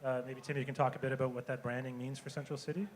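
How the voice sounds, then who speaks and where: Man, panel moderator, mid-pitched voice, hesitant, lots of ums, casual. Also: flat delivery, rapid-fire, a little hiss or hum. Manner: hesitant, many fillers, casual questions. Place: event room with an audience.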